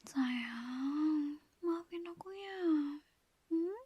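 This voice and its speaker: A woman, whispering a slow, coaxing ASMR girlfriend roleplay into a close mic. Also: sits high, lively intonation, no echo, lo-fi sound. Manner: soft coaxing whisper, apologetic and pleading.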